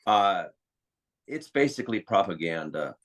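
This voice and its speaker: A man's voice: sits low, sing-song, unhurried. An American man talking conversationally as an interview guest, explaining things in an opinionated way. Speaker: interview guest, psychiatrist and author.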